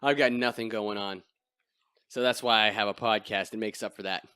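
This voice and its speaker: A man with a deep voice hosting a casual podcast chat, crude and self-deprecating. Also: steady tone, moderate pace, bright, forceful tone, clean tone, no room sound. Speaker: podcast host. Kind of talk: casual chat over beers.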